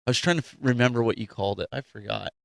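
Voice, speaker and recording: A man's voice: mid-range voice, rapid-fire, vocal fry, a bit bright, no echo. A man hosting a casual talk show with informal, jokey banter. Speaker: show host.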